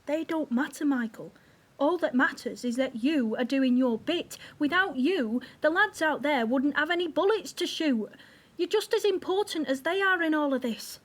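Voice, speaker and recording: A woman playing a devoted, reassuring 1940s wife in an audio drama, soothing and gently teasing. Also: high-pitched, expressive, moderate pace. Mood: earnest encouragement. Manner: soothing, encouraging.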